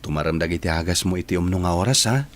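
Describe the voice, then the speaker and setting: A man's voice: low voice, fast talker, a little hiss or hum, lightly acted. A man playing a patient, devoted and tender character in an Ilocano radio drama. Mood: tender, reassuring.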